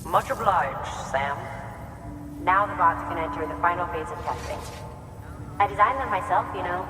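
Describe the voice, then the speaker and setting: Guy doing a chatty engineer dad in a video game, kinda high voice, echoey hall, noisy behind. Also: moderate pace, close to an everyday voice. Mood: earnest. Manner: polite, explaining at length. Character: male engineer, new father, earnest and thoughtful. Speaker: engineer of delivery bots. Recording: echoey hall with loud background noise.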